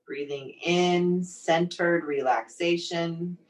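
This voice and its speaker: A woman leading a guided meditation in a soothing, gently encouraging, unhurried manner. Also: deep voice. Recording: distant microphone pickup.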